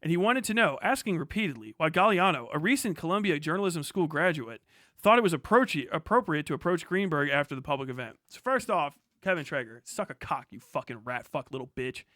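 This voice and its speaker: A man's voice: a little high, expressive, fairly quick, sharp tone, dry recording, clean studio sound. A man livestreaming casual, opinionated commentary on local news, speaking in a blunt, profane and emphatic manner.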